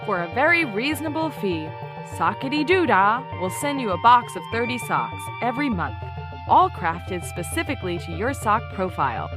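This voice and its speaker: A woman playing an earnest, prim investigative podcast host, narrating and interviewing in a measured, composed way. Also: on the high side, big pitch swings, music in the background. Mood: scripted ad cheer. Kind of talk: scripted true-crime parody narration.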